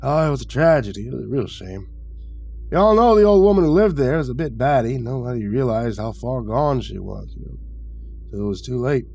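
A man's voice: medium pitch, sing-song, fairly quick, creaky, dark tone, breathy edge, faint music behind, voice pushed up, exaggerated voice. A man playing a chatty, chuckling retired man who rambles in a folksy way in a horror story. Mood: gossipy, rambling. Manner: rambling, conversational, snorting amusement.

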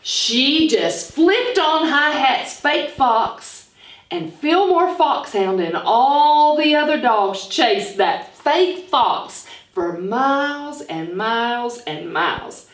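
Female phonics teacher, middle-aged: high, very expressive storytelling, recorded in a roomy classroom. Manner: animated, enunciates deliberately. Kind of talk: expressive storytelling and lesson for young children. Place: small classroom, indoors. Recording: roomy classroom, mic at a distance.

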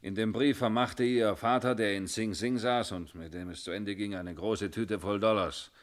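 A man's voice: fairly low pitch, fairly even intonation, moderate pace, natural voice. An actor playing a guarded, cheeky barman under police questioning in a German radio crime drama, explaining in short bursts. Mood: resigned, confessing.

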